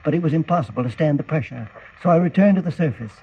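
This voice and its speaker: An actor playing an idealistic older scientist, grave, declamatory and earnest, in 1940s radio serial style. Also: male voice, fairly high pitch, lively intonation, quick pace, slightly rough. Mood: earnest, emphatic. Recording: tinny, hissy 1940 radio recording.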